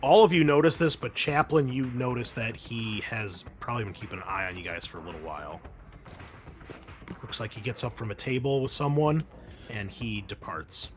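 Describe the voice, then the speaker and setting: A man acting as game master, narrating a tabletop game and explaining rules casually in a halting, hesitant way. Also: medium pitch.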